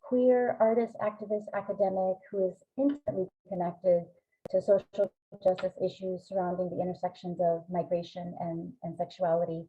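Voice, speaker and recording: A woman's voice: fairly low pitch, fairly even intonation, normal speed, husky. Woman presenting over a tinny line. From Greek American family. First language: Greek. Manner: earnest, frequent ums. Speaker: presenting researcher. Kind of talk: academic webinar presentation.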